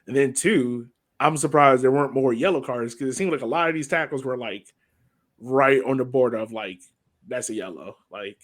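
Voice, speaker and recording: An American man co-hosting a sports podcast, talking casually and conversationally with informal, slangy phrasing. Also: medium pitch. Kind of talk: casual soccer match breakdown chat.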